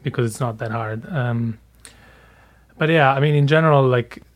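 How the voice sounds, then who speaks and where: A man's voice: medium pitch, moderate pace. Casting: mid-voiced male tech reporter, informal explainer. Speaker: security reporter. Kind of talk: explaining in conversation.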